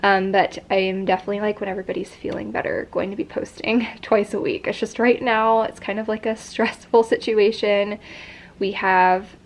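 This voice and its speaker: A young woman vlogging in a casual chat, congested and stuffy, with a sore throat, talking in a rambling way full of fillers. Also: medium pitch. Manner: chatty, many fillers like "like" and "um".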